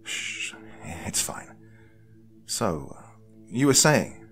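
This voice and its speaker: A man's voice: lowish voice, big pitch swings, very slow, fairly bright tone, soft background music, pitched above the performer's own voice, exaggerated voice. A man playing an embittered, wry young man in a horror story, with terse, questioning delivery.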